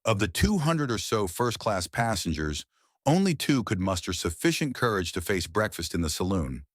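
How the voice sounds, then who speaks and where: Low-pitched, animated male story narrator. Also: normal speed, no room sound, clean studio sound. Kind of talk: scripted narration of a 1920s pulp horror story.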